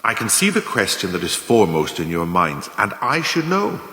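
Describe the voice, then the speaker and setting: An actor playing a sardonic, cynical company manager giving a deliberate, rhetorical farewell speech in a radio play. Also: male voice, on the low side, huge pitch swings, sharp tone, full character voice. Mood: measured, reflective. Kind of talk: radio play monologue, after-dinner speech. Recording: echoey hall with long reverb.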